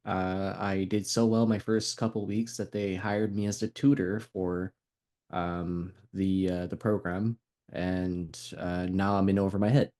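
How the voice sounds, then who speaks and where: A young man player chatting casually in a calm, soothing way, with many hesitant fillers. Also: low-pitched, measured pace. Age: young adult. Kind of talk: casual chat.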